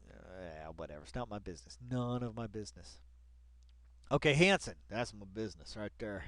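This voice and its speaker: A man's voice: on the low side, lively intonation, fairly quick, fairly bright tone, no room sound. A man hosting a casual read-along commentary in slangy, colloquial speech, emphatically repeating phrases and addressing people directly. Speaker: online video host.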